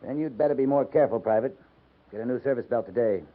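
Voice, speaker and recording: An actor playing a stern, fair-minded American cavalry captain in a 1950s radio drama, speaking in a clipped, commanding way. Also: male voice, mid-range voice, fast talker, lightly acted. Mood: stern reprimand. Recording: muffled, noisy 1950s radio recording.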